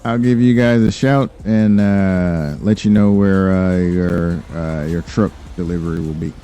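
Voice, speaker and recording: Guy playing crime boss lawyer in game rp, super low mellow voice, polite, lots of uhs, business talk. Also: fairly even intonation, clear, resonant tone. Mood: easygoing, assured. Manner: halting, unhurried, filler-heavy, polite. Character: smooth-dealing male lawyer and crime boss, businesslike, obliging. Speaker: streamer role-playing in a game. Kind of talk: improvised in-character business talk.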